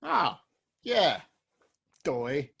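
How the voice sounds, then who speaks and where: Nervous sheepish husband, flustered and broke. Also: male voice, on the high side, wildly swooping pitch, drawn-out words, gravelly, fairly bright tone, breathy edge, heavily acted. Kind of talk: table read of a TV comedy script.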